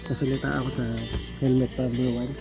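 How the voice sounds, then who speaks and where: A man with a Filipino accent giving a casual hands-on product demonstration in an informal, halting, think-aloud way. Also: medium pitch, completely flat delivery, over music. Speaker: product tutorial presenter. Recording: clearly noisy background.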